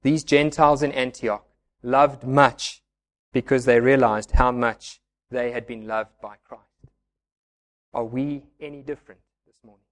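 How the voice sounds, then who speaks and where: A man's voice: medium pitch, fairly even intonation, unhurried, long pauses. A man preaching a sermon in a church, earnest and pleading as he explains scripture. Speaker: pastor.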